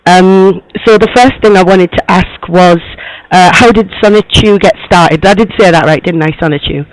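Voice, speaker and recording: A man putting on the voice of a young woman magazine journalist, hoarse, rambling with lots of fillers on a prank call. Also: sits high, moderate pace, dry recording, natural voice, low-quality recording. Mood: friendly, chatty. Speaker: prank caller posing as interviewer.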